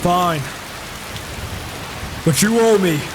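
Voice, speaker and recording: A man's voice: high-pitched, very slow. Guy doing an impatient skeptical warrior for a game roleplay, takes his time, grumbly, slight background noise. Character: reclusive male warrior, impatient, skeptical and proud. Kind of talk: improvised video game roleplay. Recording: faint noise, rain behind, mic issues.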